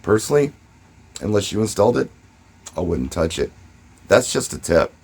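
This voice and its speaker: A man speaking casually and emphatically, giving first-person advice and telling stories, with short pauses for effect and a husky voice. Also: lowish voice, big pitch swings, darkish tone. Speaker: DJ and vlogger.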